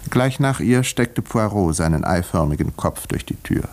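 Radio drama: composed, wry country doctor narrating a whodunit; low voice, lively intonation, educated delivery. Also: male voice, moderate pace. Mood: measured narration. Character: village doctor, wry male narrator of a murder mystery. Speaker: narrator and character in radio play. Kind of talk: 1950s German radio drama, measured storytelling.

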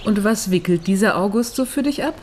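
An actress plays a blunt, breezy middle-aged female friend in a radio crime drama. Her mid-range voice is brisk and worldly, with a teasing, sardonic edge. Slight ambience runs behind it. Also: medium pitch, expressive, darkish tone, a little hiss or hum, close to an everyday voice. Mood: teasing, curious.

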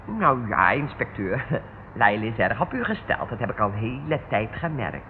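An actor playing a sly, wheedling crook under police questioning in a radio play, with an oily, familiar manner. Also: male voice, mid-range voice, big pitch swings, normal speed, lightly acted. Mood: wheedling, teasing. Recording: muffled, noisy old radio recording.

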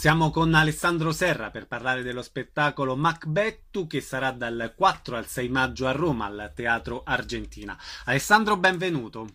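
A man's voice: mid-range voice, animated, normal speed, few pauses, sharp tone, faint music behind. A man hosting a casual radio interview, addressing guests and listeners.

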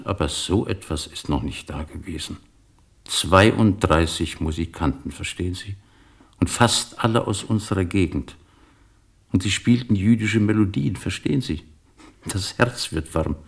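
An actor in a German radio play, playing a talkative, sentimental immigrant shopkeeper who tells things with insistent emphasis and a gravelly voice. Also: male voice, sits low. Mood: effusive, moved. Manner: effusive, insistent storytelling with emphatic pauses.

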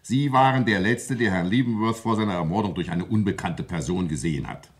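An actor playing an older coroner who questions witnesses in an official, emphatic manner in a vintage German radio play. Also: male voice, on the low side, fairly quick, lightly acted. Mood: official, insistent. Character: older coroner, official, brisk and insistent examiner. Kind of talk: vintage German radio crime drama acting.

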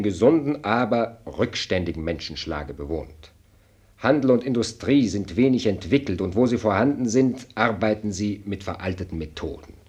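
An actor playing a dry, blunt minister of state in a German radio play, speaking formally and pointedly with an ironic, lecturing air. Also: male voice, lowish voice, husky. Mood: grave, pointed.

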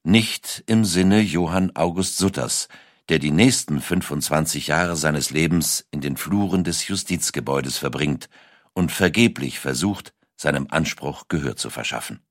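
Male narrator, deep register, dry studio sound. Scripted documentary storytelling, measured and deliberate. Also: no echo.

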